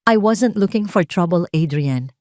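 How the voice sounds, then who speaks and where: A woman voicing a cold, scheming ex-wife with a sweet, soft manner and veiled menace for an audio drama. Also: on the low side, expressive, measured pace, no echo, clean studio sound. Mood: feigned concern.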